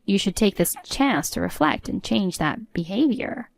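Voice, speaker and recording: A woman's voice: medium pitch, expressive, unhurried, creaky, no room sound. A woman playing a defiant, sarcastic adult daughter-in-law in a story, composed and matter-of-fact. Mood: smug.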